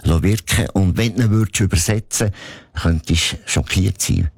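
A man's voice: sits low, normal speed, darkish tone, dry recording. A man with a Swiss German accent interviewing musicians, asking long, rambling questions full of fillers. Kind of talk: casual interview conversation.

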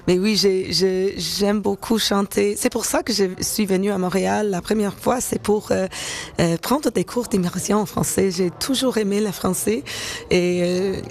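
A woman's voice: mid-range voice, unhurried, a little mellow. Hesitant anglophone woman speaking French. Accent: English-speaking Canadian. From Canada, born in Toronto. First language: English. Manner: hesitant, searching for words, many fillers. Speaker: singer-songwriter guest. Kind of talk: radio interview.

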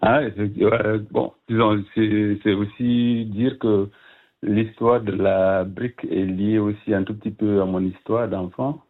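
A man in his fifties from Burkina Faso, a guest speaking by phone, hesitant with many fillers and soft laughs. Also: lowish voice, steady tone, measured pace. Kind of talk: phone interview. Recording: tinny phone line.

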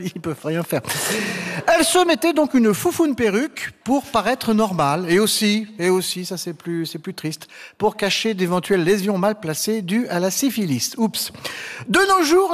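A man giving humorous mock explanations as a game show panelist, with hesitant asides. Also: high-pitched. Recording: reverberant hall.

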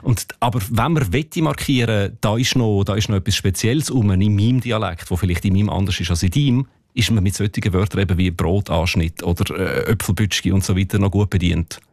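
A man with a Swiss German accent, calmly explaining dialect research as a radio guest. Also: on the low side, a little fast, dry recording, clean studio sound. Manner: thoughtful, explanatory, with fillers.

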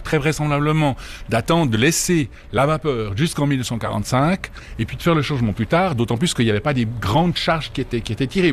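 A man's voice: medium pitch, a little hiss or hum. A man, a co-host on a radio show, explaining technical details carefully.

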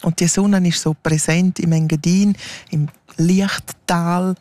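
A woman's voice: fairly low pitch, steady tone, slow pace, darkish tone, no room sound. Woman explaining her valley. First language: Romansh. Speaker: guest, regional radio editor. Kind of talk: casual interview.

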